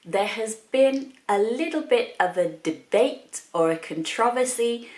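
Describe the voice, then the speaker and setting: A woman's voice: on the high side, expressive, unhurried, darkish tone, echoey room. A woman, an English teacher chatting her way through a grammar point with examples. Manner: chatty, explains step by step with examples. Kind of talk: explaining grammar to learners.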